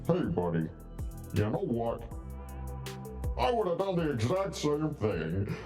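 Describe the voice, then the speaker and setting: An actor playing a protective, plainspoken middle-aged father in a video game, frank and folksy with a quiet threat underneath. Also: medium pitch, big pitch swings, slow, distant mic, soft background music. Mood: frank, menacing. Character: protective, plainspoken older father of an outlaw woman. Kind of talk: scripted video game voice acting.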